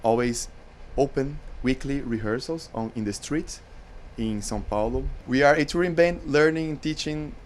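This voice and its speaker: A man's voice: mid-range voice, normal speed, few pauses. Guy on a radio show, hesitant, faint ac hum behind. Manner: hesitant, searching for words. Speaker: radio guest, band member. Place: radio station studio.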